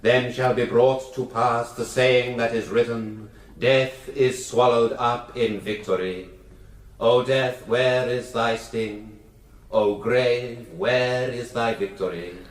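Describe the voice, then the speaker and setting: An English actor playing a solemn country parson in a radio drama, speaking in a measured, declamatory, formal manner. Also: male voice, medium pitch, fairly even intonation, lightly acted. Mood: solemn, declaiming. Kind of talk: liturgical reading in radio drama.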